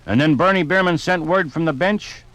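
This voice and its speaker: A man playing a jovial sportsman in a 1950s radio drama, reminiscing in a conversational, animated way. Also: on the high side, normal speed, dry recording, lightly acted, low-quality recording. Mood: enthusiastic. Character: jovial sportsman reminiscing about football on a fishing trip.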